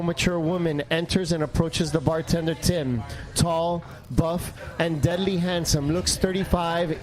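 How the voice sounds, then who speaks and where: Higher-pitched man narrating a radio play. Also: low-quality recording. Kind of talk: scripted narration.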